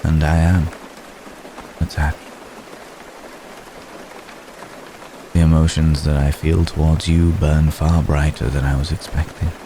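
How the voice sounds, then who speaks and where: An age-old vampire gentleman, played by a man, murmurs in an extremely deep voice over clearly audible rain. His delivery is flat and measured, with formal phrasing and long, gentle pauses. He sounds protective and world-weary, in the manner of an intimate romantic ASMR roleplay. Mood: tender, confessional. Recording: steady rain noise behind voice. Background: rain falling.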